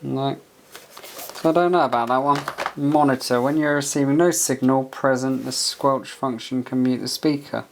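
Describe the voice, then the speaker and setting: Guy reviewing a gadget, noisy recording with clicks, mid pitched, kind of muttering to himself and reading instructions out. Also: steady tone, normal speed. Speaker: product reviewer. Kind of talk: casual hands-on review, partly reading a manual aloud. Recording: clear background noise with handling clicks.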